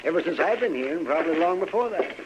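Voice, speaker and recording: An actor playing a grumbling, crotchety older American town doctor in an old-time radio western. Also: male voice, a little high, animated, fast, lightly acted. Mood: matter-of-fact. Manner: grumbling, querulous. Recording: noisy, dull vintage radio recording.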